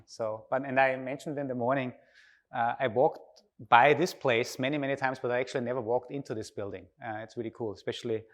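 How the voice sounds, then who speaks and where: Austrian-accented man giving a conference talk. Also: mid-range voice. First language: German. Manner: informal, engages audience with questions. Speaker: conference speaker.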